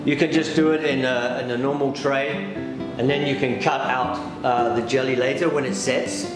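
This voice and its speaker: A middle-aged man from England and New Zealand gives a step-by-step cooking demonstration as a pastry chef, explaining in a hesitant, unhurried way. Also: medium pitch, steady tone. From England-born, raised in New Zealand. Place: indoor demonstration kitchen. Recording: very echoey room with loud music behind. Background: loud background music.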